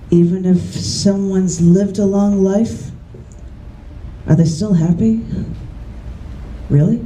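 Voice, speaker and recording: Slow, mellow woman reading poetry aloud. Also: lowish voice, slight background noise. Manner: measured deliberate reading, chatty and laughing between poems. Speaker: poet. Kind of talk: live poetry reading with casual asides. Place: large bookstore, indoors. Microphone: camera mic. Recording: echoey room from the camera.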